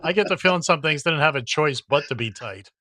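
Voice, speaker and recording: A man's voice: on the high side, animated, fairly quick, bright, forceful tone. A man co-hosting a casual radio show, trading jokes and teasing his co-host. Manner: teasing, joking.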